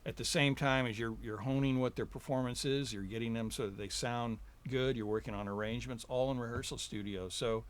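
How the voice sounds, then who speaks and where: Relaxed, chuckling man guesting in a studio. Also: mid-range voice, fairly quick, few pauses. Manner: relaxed, chuckling, rambling with fillers. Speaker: guest, recording engineer. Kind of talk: casual interview chat. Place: recording studio.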